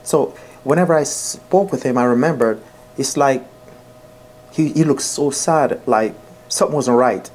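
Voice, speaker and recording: A man with a dark, mellow voice at a middle pitch. He talks informally to his viewers like a storyteller, earnest and emphatic. He repeats phrases for weight and often speaks straight to the listener. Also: unhurried. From Jamaica. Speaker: vlogger.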